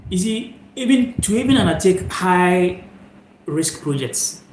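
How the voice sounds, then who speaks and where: A man's voice: sits high, animated, unhurried, a little mellow. Ghanaian man musing on a phone stream. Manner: rambling, reflective, many fillers and asides. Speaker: channel host. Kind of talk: live-stream talk, musing to viewers. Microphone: phone held sideways. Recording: echoey room, faint noise.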